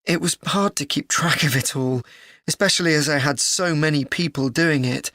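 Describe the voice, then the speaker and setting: Earnest student, dry sound. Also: female voice, low voice, moderate pace, a bit bright, no room sound. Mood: earnest, thoughtful. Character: earnest university student discussing a joint presentation. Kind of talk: scripted educational dialogue.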